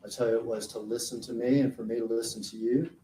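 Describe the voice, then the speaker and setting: Male lawyer, measured questioning, mellow tone, distant roomy courtroom sound. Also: mid-range voice, flat delivery, slight background noise. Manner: slow, deliberate questioning. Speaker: prosecutor. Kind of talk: courtroom direct examination. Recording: roomy courtroom audio from far off.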